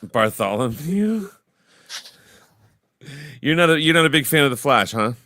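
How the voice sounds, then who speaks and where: Man, livestream host, crude joking banter, animated pitch, dry sound. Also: measured pace, no room sound. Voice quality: baritone. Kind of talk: casual chat.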